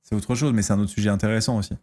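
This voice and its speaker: A man in his thirties from France talks casually on his stream, answering viewers' questions. His voice sits a little low, and he halts often, restarting sentences and filling gaps with hesitations. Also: steady tone, very fast, a little mellow. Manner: hesitant, lots of fillers and restarts.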